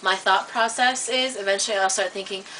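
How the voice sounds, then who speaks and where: A woman vlogging in a casual, rambling monologue to camera, full of "like" and "um", getting animated and high when worked up. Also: a little fast. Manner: chatty, filler-heavy, many "like" and "um" restarts. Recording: distant webcam mic with faint hiss.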